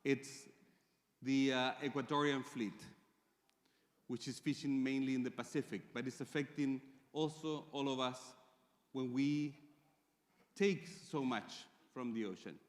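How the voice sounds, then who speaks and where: A Chilean man presents to a conference audience in English, which is not his first language. His pitch sits in an ordinary middle range, and he talks in measured phrases with frequent pauses. His voice carries a long reverb from the big hall. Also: fairly even intonation. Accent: Chilean Spanish. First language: Spanish. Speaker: conference speaker.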